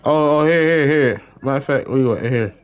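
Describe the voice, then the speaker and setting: Young man, mid-pitched, casual gaming livestream, slangy, repeats short phrases, slightly muffled lo-fi mic. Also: a little fast, smooth. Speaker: game streamer. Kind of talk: casual live gaming commentary.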